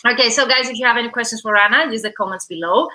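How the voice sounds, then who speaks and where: A woman's voice: on the high side, rapid-fire, pushed and bright. A woman hosting a live stream over a video call, greeting viewers by name and asking her guest questions, with frequent fillers. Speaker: host.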